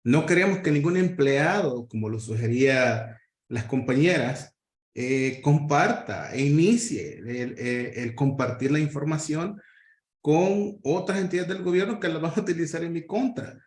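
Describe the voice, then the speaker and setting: Earnest man in an echoey room. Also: a little high. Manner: earnest, halting with fillers and restarts. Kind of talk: workshop discussion.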